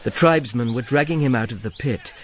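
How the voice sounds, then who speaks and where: A man's voice: mid-range voice, a little fast, a little hiss or hum, close to an everyday voice. An actor playing a wry, world-weary Roman imperial agent who narrates his own misadventures in a dry, sardonic, understated way for a radio drama. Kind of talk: first-person radio drama narration and dialogue.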